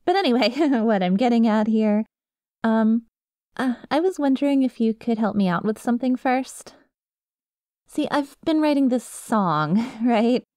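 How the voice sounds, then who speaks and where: A woman's voice: on the high side, animated, measured pace, darkish tone, clear, resonant tone, dry recording, clean studio sound. A woman playing a nervous, clingy young best friend with a secret obsession, rambling hesitantly and casually in an ASMR roleplay. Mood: nervous, bashful.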